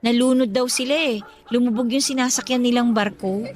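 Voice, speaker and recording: A woman's voice: fairly high pitch, fairly even intonation, fairly quick, natural voice. A woman playing a friendly, teasing young working mother in conversational radio drama dialogue. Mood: reflective.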